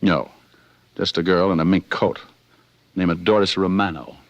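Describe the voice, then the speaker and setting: An actor playing a slick, wisecracking private detective in 1940s radio style, glib, dry-witted and unruffled. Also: male voice, low voice, steady tone, moderate pace, no room sound, highs cut off. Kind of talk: 1940s radio acting, first-person narration.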